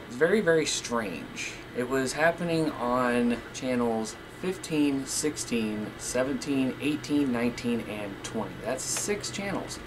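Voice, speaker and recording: Midwest guy doing a casual radio hobby vlog, plain talker, noisy room with some static. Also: medium pitch. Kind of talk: casual vlog talk to viewers.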